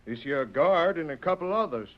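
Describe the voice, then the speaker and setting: Man acting a high-voiced folksy cowboy prisoner. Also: animated, moderate pace, close to an everyday voice. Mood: earnest. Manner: folksy, eager frontier dialect. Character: plucky, folksy cowboy prisoner, respectful and trusting. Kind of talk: old-time radio drama acting. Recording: dull lo-fi old radio recording.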